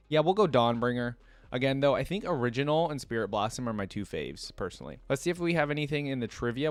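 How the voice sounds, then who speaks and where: A man's voice: mid-range voice, smooth, dry recording. A man giving casual reaction commentary as a channel host, chatting and reading aloud.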